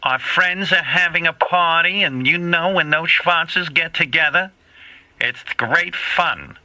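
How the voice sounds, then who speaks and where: A man's voice: on the high side, bright, forceful tone, pitched above the performer's own voice, exaggerated voice, rough recording. Man putting on an emphatic, piercing older mother. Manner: emphatic, declarative, drawn-out storytelling. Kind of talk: comic impression within radio talk.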